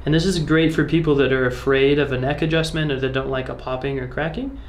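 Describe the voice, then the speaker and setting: A man's voice: medium pitch, steady tone, fast talker. A man working as a chiropractor, giving instructive, reassuring explanations and directions to a patient as he works. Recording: roomy echo, faint background noise.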